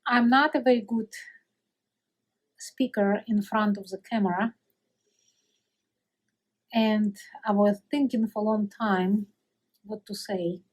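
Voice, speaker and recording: Husky woman with a Russian accent. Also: mid-range voice, steady tone, slow, long pauses, low-quality recording. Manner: hesitant, full of ums. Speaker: coworker. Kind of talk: retirement video message. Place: indoor room.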